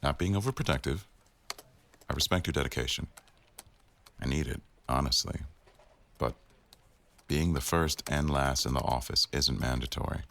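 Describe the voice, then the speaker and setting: A man's voice: extremely low pitch, normal speed, long pauses, a bit bright. A man playing a stern, teasing office boss in a boyfriend roleplay audio, speaking in a wry, formal way. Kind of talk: boyfriend ASMR roleplay acting.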